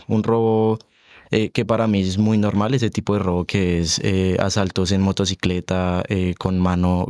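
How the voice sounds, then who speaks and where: A young man with a Bogotá Colombian accent talks in a low, resonant voice. He hesitates and restarts often as a student guest on a radio panel. The studio sound is very dry. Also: a little mellow, dry recording. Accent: Bogotá Colombian Spanish. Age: young adult. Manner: halting, many fillers and restarts. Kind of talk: radio discussion. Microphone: studio microphone.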